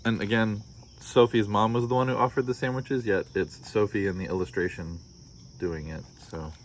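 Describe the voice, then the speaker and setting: American male storybook reader, fairly low voice, drily joking asides, slight noise behind. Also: steady tone, moderate pace. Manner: wry, joking commentary. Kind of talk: children's story read-aloud with wry casual asides.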